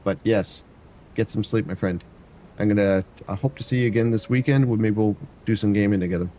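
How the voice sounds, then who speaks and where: A man's voice: fairly low pitch, a little fast, dark tone, a little hiss or hum. Stuffy-nosed man streaming a game. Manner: rambling, thinks aloud, hums to himself. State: stuffy nose. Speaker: variety streamer. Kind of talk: casual gameplay commentary.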